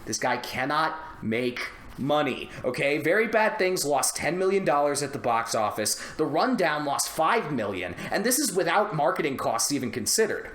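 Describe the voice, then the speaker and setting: A man chatting casually on a movie podcast, telling rambling, animated stories with plenty of swearing. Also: a little high, moderate pace, hardly any pauses. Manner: animated, profane, rambling storytelling. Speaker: podcast co-host. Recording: roomy, echoey indoor sound.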